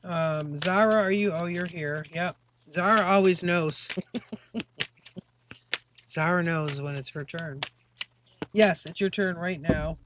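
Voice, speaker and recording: A woman's voice: on the low side, slow, long pauses, noisy background. A woman doing a casual live-stream tarot reading, chatty and rambling with many fillers. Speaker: tarot reader.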